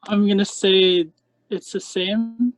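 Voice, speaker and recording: A young man in the audience, a student, answering a question in an unsure, halting way. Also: high voice, steady tone, dry recording, soft background music, low-quality recording. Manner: unsure, pauses mid-answer.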